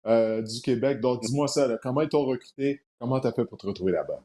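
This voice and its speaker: A man's voice: medium pitch, fast talker, warm tone. A man hosting a sports podcast, asking questions and jumping in with follow-ups. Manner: probing, jumps in with follow-up questions. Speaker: podcast host. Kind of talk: sports interview.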